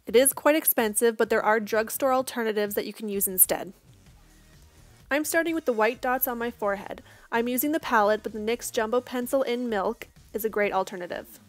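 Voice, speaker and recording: A young woman, hoarse from losing her voice, giving a casual step-by-step makeup tutorial voice-over. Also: fairly high pitch, dry recording. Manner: casual, chatty, reassuring.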